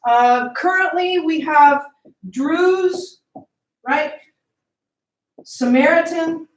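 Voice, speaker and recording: A woman's voice: high-pitched, extremely slow, reverberant, slight background noise, poor audio. A woman giving a classroom lecture, often asking the class questions and checking in with "okay?" and "right?".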